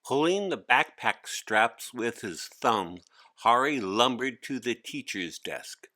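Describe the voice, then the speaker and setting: A man narrates a children's storybook at a slow, deliberate pace. His mid-pitched voice is bright and forward, and the delivery is expressive and clearly scripted. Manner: expressive read-aloud.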